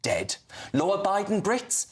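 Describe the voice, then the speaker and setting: A man's voice: high voice, huge pitch swings, unhurried. A man presenting a sarcastic satirical segment on TV, theatrical and mocking, laughing at his own jokes. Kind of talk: sarcastic comic monologue with mock letters.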